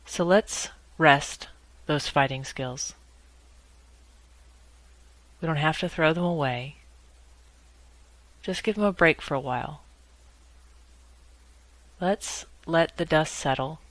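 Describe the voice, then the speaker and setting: A woman guiding a meditation in a measured, instructional way, speaking in short phrases with pauses between them. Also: sits low, dry recording. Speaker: guided meditation leader. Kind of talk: guided meditation narration.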